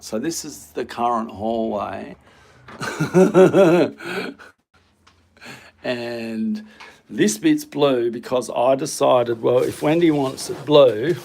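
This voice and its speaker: A man's voice: medium pitch, gravelly, darkish tone. A man chatting casually and a bit hesitantly while showing viewers around his home. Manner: rambling, hesitant, self-deprecating. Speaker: vlogger. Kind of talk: casual home tour chat. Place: home hallway and studio room.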